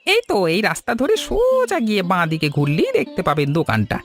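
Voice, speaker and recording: A woman's voice: mid-range voice, huge pitch swings, fast, soft background music. A woman voicing a helpful, emphatic local passerby in a cartoon story.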